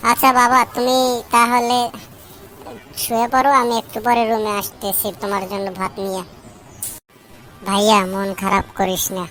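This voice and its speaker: A woman's voice: medium pitch, monotone, moderate pace, long pauses, a bit bright, slight background noise, lightly acted. A woman voicing a caring young sister and dutiful daughter in a cartoon. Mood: soothing. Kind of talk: amateur cartoon voice acting in colloquial Bengali.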